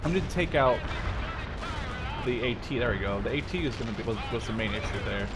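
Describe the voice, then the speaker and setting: A man gives casual, rambling, expressive gameplay commentary in informal English. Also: mid-range voice, a little fast. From Netherlands. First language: Dutch. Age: 20s. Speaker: gaming video host. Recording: game gunfire and artillery behind. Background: video game battle sounds.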